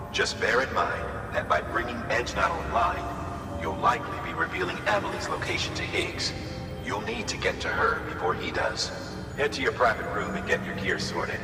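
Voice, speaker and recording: An actor playing a composed game commander who briefs in a measured, authoritative and encouraging way. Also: male voice, lowish voice, gravelly. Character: composed male commander briefing his courier with steady authority. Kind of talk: dramatic game cutscene dialogue, mission briefing. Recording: echoey hall, far off, music behind.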